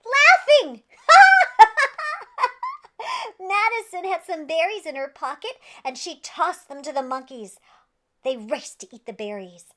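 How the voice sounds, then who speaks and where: A woman's voice: high-pitched, very animated, measured pace, long pauses, pushed and bright, a little hiss or hum. A woman reading a children's story aloud in a playful, theatrical way with exaggerated emphasis. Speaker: children's storybook reader and host.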